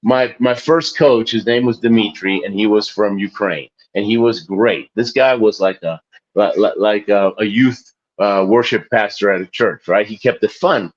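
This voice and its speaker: A man's voice: on the low side, moderate pace, highs cut off. A man in his forties, a guest in an interview, talking casually in a rambling, self-deprecating way and laughing often. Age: 40s. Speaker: guest, Olympic athlete and author.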